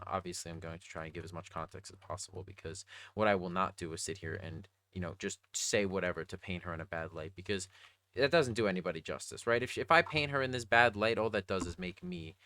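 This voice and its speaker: A young adult man with a slightly raspy voice, talking casually and earnestly in a rambling, slangy video diary. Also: low-pitched, quick pace, sharp tone, no echo. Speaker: vlogger.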